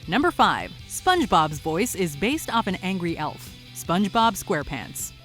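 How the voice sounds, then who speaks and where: Lively woman narrator over music. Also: fairly low pitch, moderate pace. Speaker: countdown list narrator. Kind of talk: scripted upbeat narration.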